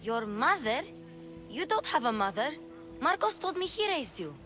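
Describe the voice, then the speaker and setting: A woman's voice: high-pitched, very animated, normal speed. A woman voicing a plucky, eager young girl in a video game, blurting things out proudly and chattily. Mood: eager, insistent. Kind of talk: video game cutscene acting. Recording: music behind the dialogue.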